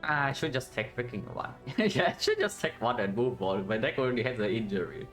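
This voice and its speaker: A man's voice: mid-range voice, expressive, a little fast, fairly bright tone, soft background music. A man with a Vietnamese accent streaming a card game, thinking aloud and chatting with viewers, often ending with "yeah?". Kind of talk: casual live-stream commentary.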